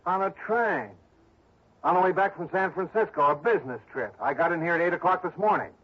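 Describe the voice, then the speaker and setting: A man's voice: high-pitched, expressive, fairly quick, slightly rough. An actor playing a bitter, defensive businessman in a blustery 1960s radio drama style. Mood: indignant, defensive. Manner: blustery, indignant. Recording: dull old radio recording.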